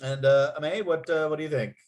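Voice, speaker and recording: A man's voice: fairly high pitch, steady tone, fairly quick, a little mellow. A man chatting casually in a group, rambling and enthusiastic, often stopping to restart his thoughts. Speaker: writer leading a table-read discussion.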